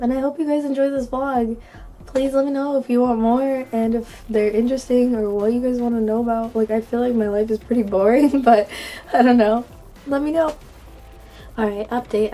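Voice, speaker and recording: A woman's voice: fairly high pitch, mellow. A young woman vlogging into her phone camera, chatty and giggly, full of ums and likes, her voice still recovering from a cold. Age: young adult. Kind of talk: casual vlog chat.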